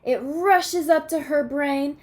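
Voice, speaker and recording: A woman teacher reading a picture book aloud to children, expressively, pausing to ask questions and check understanding. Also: high voice, a little mellow.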